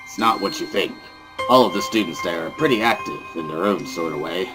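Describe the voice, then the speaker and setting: Guy doing a soft coaxing dad for a visual novel, persuasive, music going behind him. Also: low voice, a little fast, voice pushed down, close to an everyday voice. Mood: gentle, coaxing. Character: gentle, persuasive father of a teenage boy.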